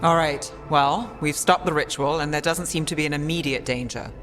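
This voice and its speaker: A woman playing a cool, sceptical detective mage in a fantasy video game, measured, dry and questioning. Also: sits low, a bit bright, soft background music. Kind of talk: video game cutscene dialogue.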